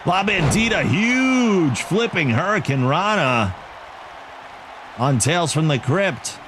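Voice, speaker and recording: Male play-by-play caller for a game wrestling league, excitable, slightly high voice, crowd and noise under the mic. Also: slow pace. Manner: excitable, self-joking asides between calls. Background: video game arena crowd.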